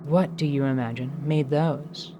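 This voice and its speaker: Lady doing a gruff winged warrior boss for a radio play, low mellow voice, terse n dry, some noise behind. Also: fairly even intonation, measured pace, lo-fi sound. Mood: wary curiosity. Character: gruff, large winged female warrior leader, wry and commanding. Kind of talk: dramatized tabletop radio theatre acting. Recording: clear background noise.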